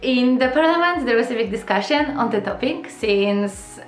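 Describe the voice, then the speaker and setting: A young woman with a fairly high voice explains things earnestly to her viewers, with "uh" and "um" fillers and direct questions to the audience. Her voice rings in a roomy, echoey space, with faint music underneath. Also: lively intonation, moderate pace. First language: Polish. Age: young adult. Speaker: vlogger. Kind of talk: casual explainer vlog to camera.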